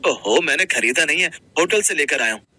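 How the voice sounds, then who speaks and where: A man playing a simple, humble working husband, gentle, earnest and a little hesitant, in a Hindi moral-story cartoon. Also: on the high side, expressive, brisk, slightly rough, heavily acted. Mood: pleased, gentle.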